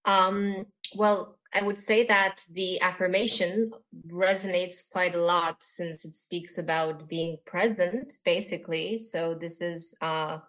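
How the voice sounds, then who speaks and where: A woman's voice: on the low side, steady tone, slow, few pauses, fairly bright tone, poor audio. A woman from Romania, talking reflectively and hesitantly as a guest on a podcast. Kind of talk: reflective personal talk.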